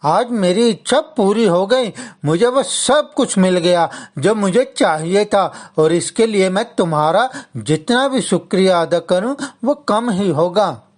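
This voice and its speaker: A woman's voice: medium pitch, expressive, a little slow, darkish tone. A woman voicing a poor, scorned village man, earnest and emotional, in a dramatized moral story. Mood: grateful. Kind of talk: dramatized children's story dialogue.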